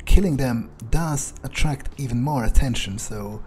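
A man's voice: medium pitch, measured pace, darkish tone, a little hiss or hum. A man thinking aloud in character during a video game playthrough, in a hesitant, musing way. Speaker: gamer role-playing in character.

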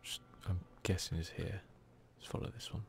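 A man's voice: low-pitched, flat delivery, normal speed, creaky, slight background noise. A man with a British accent giving casual, whispered ASMR commentary as he plays a video game. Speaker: gaming video host.

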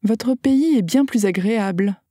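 A woman's voice: on the high side, a little slow, warm tone, no room sound, clean studio sound. A woman carefully reading short phrases aloud for a language lesson, enunciating each one. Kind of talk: slow scripted phrase reading.

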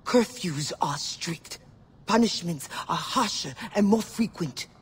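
Game role: shrewd, proud woman, extremely husky and breathy, measured delivery, long reverberant hall sound. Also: lowish voice, animated, heavily acted. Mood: pleading, worried. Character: proud, cautious, world-weary woman, a shrewd informant. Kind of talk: dramatic video game acting.

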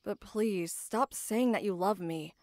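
A woman's voice: medium pitch, takes it slowly, a little mellow, a little hiss or hum. A woman playing a tough, proud female knight, guarded about love, speaking earnestly and formally in an anime ASMR roleplay. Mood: reluctant, softened.

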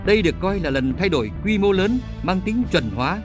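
Higher-pitched man narrating history. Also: animated, brisk, over music. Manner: formal, declarative.

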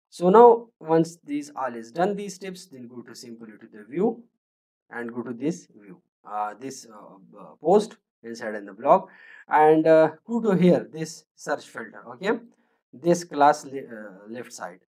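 A man, talking through a screen-recorded coding tutorial in a halting way, with many fillers and restarts as he thinks aloud. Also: fairly high pitch, big pitch swings, warm tone. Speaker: programming tutor.

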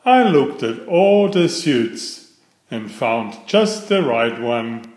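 Middle-aged man, children's storyteller, slow pace, animated intonation, simple phrasing, echoey room. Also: medium pitch. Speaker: children's book reader. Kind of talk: read-aloud bedtime storytelling. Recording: roomy, echoey voice recording.

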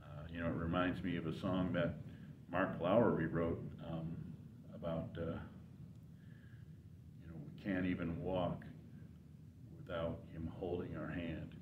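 Older man, slow and halting, roomy sound. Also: low voice, little pitch movement, background noise. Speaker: gospel singer and channel host. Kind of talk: informal devotional talk to viewers. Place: church sanctuary, indoors. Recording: roomy, distant, dull sound.

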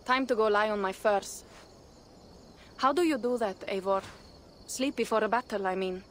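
A woman's voice: medium pitch, moderate pace, fairly bright tone, a little hiss or hum, lightly acted. An actress playing a wary, plain-spoken young Viking warrior woman in a video game, direct and earnest. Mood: weary, curious. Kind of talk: dramatic video game cutscene acting.

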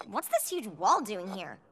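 A woman's voice: mid-range voice, very animated, slow, crowd noise. A woman voicing a spirited, sceptical young girl in a cartoon, exasperated and emphatic. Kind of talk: animated cartoon acting.

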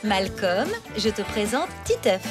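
A woman voicing a gentle mother introducing her son to a guest. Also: medium pitch, very animated, measured pace, music in the background. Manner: gentle, coaxing.

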